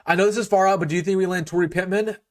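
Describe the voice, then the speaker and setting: A man's voice: high voice, steady tone, racing. A young man hosting a casual live sports stream, opinionated, full of restarts and fillers, his voice getting a bit worn. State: losing his voice a little.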